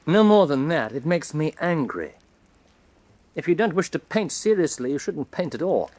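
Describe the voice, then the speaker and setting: A man's voice: fairly high pitch, big pitch swings, normal speed, a little mellow, no echo. A man playing an earnest painter who answers hesitantly and stiffly, defending his seriousness. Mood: defensive. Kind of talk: radio drama acting.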